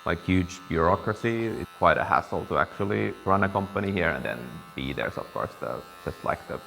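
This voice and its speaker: A young man from Finland, chatting casually in a barber shop and pausing to find his words. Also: sits low, animated, vocal fry, fairly bright tone, faint music behind. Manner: thoughtful, searching for words. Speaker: guest, business partner. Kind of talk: casual conversation. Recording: reverberant room, faint ambience.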